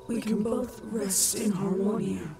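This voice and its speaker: A woman voicing an ancient, kindly female tree spirit in an audio roleplay, speaking in a hushed whisper and giving solemn blessings. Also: medium pitch, steady tone, a little slow, gravelly. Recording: loud music bed behind the voice.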